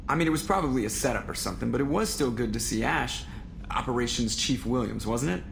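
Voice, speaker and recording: An actor playing a wry, grumbling spaceship pilot in a video game, casual and sarcastic. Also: male voice, medium pitch, expressive, fast, vocal fry, a little hiss or hum.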